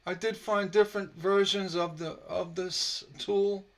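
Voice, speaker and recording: A man teaching stained glass on a casual live stream, slightly hoarse from a sore throat, relaxed and rambling with many fillers. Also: high voice, unhurried. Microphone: computer mic on a live stream.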